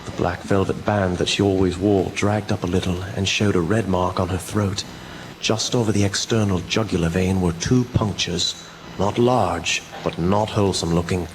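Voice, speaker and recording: An actor playing an earnest doctor, narrating formally in a radio drama. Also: male voice, low voice, fairly even intonation, normal speed. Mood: formal, sombre. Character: earnest doctor recounting his fiancee's mysterious illness. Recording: noisy amateur local radio recording.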